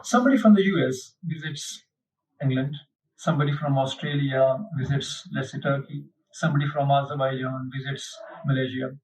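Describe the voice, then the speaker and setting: A man giving an unhurried explanatory lecture, pausing often with fillers as he explains. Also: mid-range voice, darkish tone. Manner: unhurried, hesitant, many fillers. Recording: dull lo-fi, mic at a distance.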